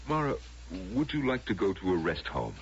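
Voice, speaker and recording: An actor playing a calmly insistent, condescending husband in a vintage radio drama, with measured, hesitant coaxing. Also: male voice, lowish voice. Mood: insistent, patronizing. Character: patronizing husband Willis, calmly insistent, soothing. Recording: dull vintage radio recording, faint hum.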